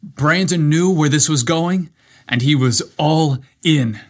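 A man's voice: a little high, slow pace. A man reading a scripted story aloud as its narrator.

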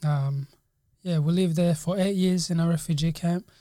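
A man's voice: on the high side, fairly even intonation, moderate pace, mellow, dry recording, studio quality. Easygoing young man from Congo. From Congo, raised in Uganda. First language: Kinyarwanda. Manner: easygoing, talks in short loose phrases with many likes and yeahs. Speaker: podcast guest, nurse. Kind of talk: casual interview.

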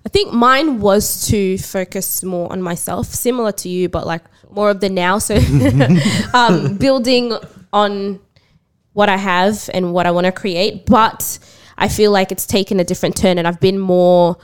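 A young woman co-hosting a casual podcast chat, reflective and soft-spoken. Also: medium pitch, unhurried, smooth. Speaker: podcast co-host.

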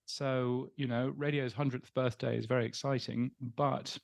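A middle-aged man with an English accent, answering interview questions in a casual, halting way with many ums and restarts. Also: mid-range voice, little pitch movement, gravelly, no echo, studio quality. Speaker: interview guest.

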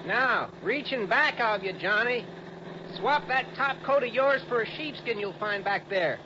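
A man's voice: very high-pitched, animated, a little slow. An American man playing a young, folksy, wordy insurance agent with a hesitant manner in a 1960s radio drama. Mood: folksy, explaining. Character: young, rambling male insurance agent out west. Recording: dull, noisy vintage radio recording.

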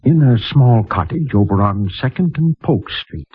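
An actor playing a kindly, righteous mission priest in a gentle, measured, formal manner, in a 1950s radio drama. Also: male voice, medium pitch, expressive, husky, no room sound. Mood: guarded, concerned. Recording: muffled, narrow-band vintage radio recording.

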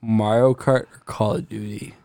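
A man's voice: on the low side, fairly even intonation, moderate pace, gravelly, warm tone, no echo, clean studio sound. A drunk young man, a guest on a casual podcast, rambling and swearing a lot as he chats.

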